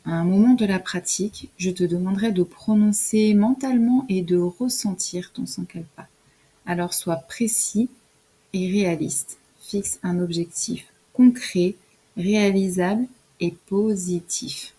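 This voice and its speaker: A woman leading a scripted guided relaxation in a soft, soothing, instructional way, as a yoga nidra guide. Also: medium pitch, measured pace, breathy edge, rough recording.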